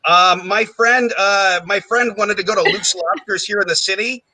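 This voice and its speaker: A young man hosting a live comedy game show, riffing in quick, self-mocking banter full of fillers. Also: high-pitched, a crowd in the background, rough recording.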